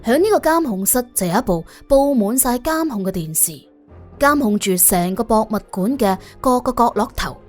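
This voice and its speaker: 一個女人用粵語演繹有聲偵探小說，照稿講故事，做旁白。. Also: female voice, on the high side, animated, normal speed, faint music behind. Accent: Cantonese. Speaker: audiobook narrator. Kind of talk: expressive scripted detective-story narration.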